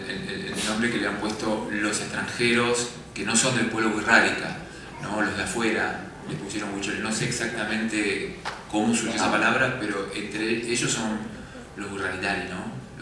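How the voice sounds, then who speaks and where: A man's voice: lowish voice, fairly even intonation, normal speed. Man echoing in a big hall. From Argentina. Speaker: documentary filmmaker on a panel. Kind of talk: panel discussion after a screening. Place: festival screening hall. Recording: very echoey hall, distant mic, background noise.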